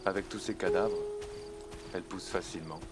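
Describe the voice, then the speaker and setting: An actor playing a reflective, battle-worn French soldier in a game, subdued and matter-of-fact. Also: male voice, low-pitched, fairly even intonation, fast talker, natural voice. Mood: resigned, calm. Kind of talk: subdued game dialogue in character.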